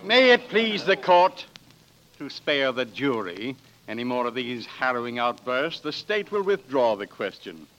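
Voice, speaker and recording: A man playing a formal, forceful, probing prosecutor with declamatory delivery in a 1940s radio drama. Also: medium pitch, very animated, measured pace, a little hiss or hum. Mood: formal commanding. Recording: dull, band-limited old radio recording.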